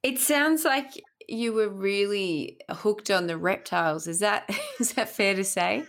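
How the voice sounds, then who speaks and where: A woman's voice: medium pitch, unhurried, fairly bright tone. A woman with an Australian accent, chatting as the host of an interview podcast.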